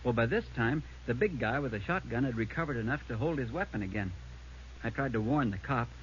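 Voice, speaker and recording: A man's voice: mid-range voice, lively intonation, a little fast, lightly acted. Plainspoken male eyewitness played by an actor, earnest conversational account, muffled vintage radio police drama. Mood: awed, earnest. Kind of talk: 1950s radio drama, conversational witness narration. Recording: dull, noisy old radio recording.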